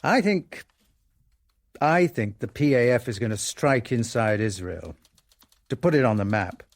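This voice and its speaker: A sceptical foreign correspondent in a radio drama. His voice is mid-pitched and gravelly, recorded close and dry, with a wry, self-assured delivery. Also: animated, measured pace, no room sound. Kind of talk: radio drama acting and first-person narration.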